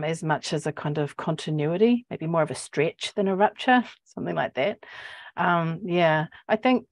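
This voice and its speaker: A woman in her forties with a New Zealand accent, talking reflectively and haltingly as a poet on a panel, searching for words. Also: sits low, no echo. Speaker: poet and academic. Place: book-lined office, indoors. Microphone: earphone mic on video call.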